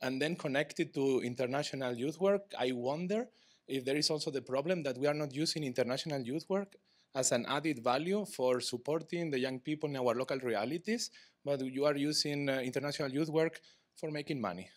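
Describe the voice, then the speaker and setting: A man's voice: mid-range voice, a little fast. Non-native English-speaking man commenting. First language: not English. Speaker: youth worker speaking from the audience. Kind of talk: spontaneous comment at a conference. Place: conference room full of seated people.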